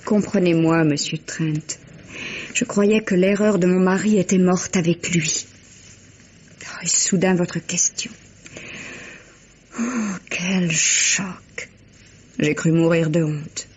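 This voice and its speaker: An actress playing a refined, emotional widow in a vintage French radio mystery, with genteel, expressive theatrical delivery. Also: female voice, fairly low pitch, slow, long pauses, slight background noise. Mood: distressed, confiding. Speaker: actor. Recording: muffled old radio drama recording.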